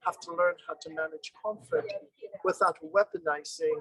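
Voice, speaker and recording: A man, a summer program teacher, presenting his class outdoors over a video call in an earnest way, posing rhetorical questions with thoughtful pauses. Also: a little high, steady tone, fairly bright tone, low-quality recording. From born in Guyana, raised in England.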